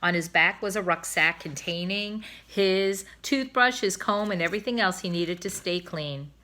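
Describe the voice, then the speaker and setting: A woman reading a children's storybook aloud in an expressive way, as a teacher would. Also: lowish voice, normal speed.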